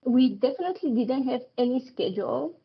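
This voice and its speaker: Woman, laughing, slightly high voice. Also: expressive, creaky, husky, lo-fi sound. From Romania. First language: Romanian. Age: 30s. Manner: laughs often, pauses with fillers. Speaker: podcast guest. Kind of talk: casual interview chat.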